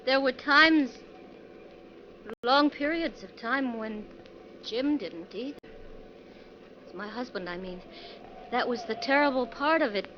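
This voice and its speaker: An actress playing a nervous, troubled wife travelling by bus in an old-time radio drama, speaking in a hesitant, halting way. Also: female voice, a little high. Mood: uneasy. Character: nervous, troubled married woman passenger. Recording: muffled, faintly noisy vintage radio recording.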